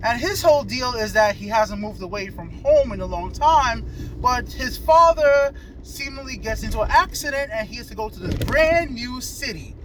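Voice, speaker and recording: A man's voice: very high-pitched, animated, moderate pace, fairly bright tone. A man giving a casual, chatty movie review as host, checking that his companion agrees, recorded in a car while driving. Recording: noisy in-car recording with road noise. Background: car and road noise.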